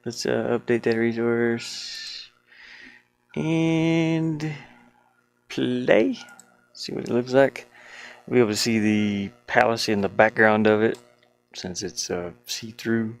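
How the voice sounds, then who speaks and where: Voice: medium pitch, takes it slowly, vocal fry, long pauses, faint music behind. A game developer talking casually to themselves while they work, muttering with playful asides. The speaker's voice could be a man's or a woman's.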